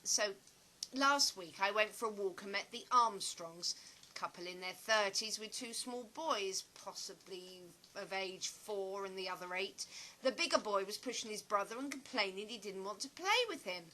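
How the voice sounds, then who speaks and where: A woman playing a chatty, opinionated, nostalgic stage actress in an audio play, with a dramatic, expressive storytelling manner. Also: medium pitch, moderate pace, a little hiss or hum, natural voice, low-quality recording. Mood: matter-of-fact.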